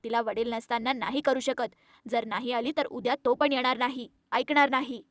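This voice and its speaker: Firm old grandmother, high-voiced woman acting. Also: fairly even intonation, normal speed, a bit bright, dry recording, studio quality, close to an everyday voice. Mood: stern, scolding. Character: old grandmother, firm, caring, scolding mother-in-law. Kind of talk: animated moral story dialogue.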